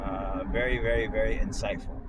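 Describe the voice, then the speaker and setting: A man's voice: lowish voice, steady tone, a little fast. An American man in casual discussion as a co-host, explaining his points emphatically. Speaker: show co-host. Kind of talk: casual discussion while driving. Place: inside a moving car. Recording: loud car and road noise.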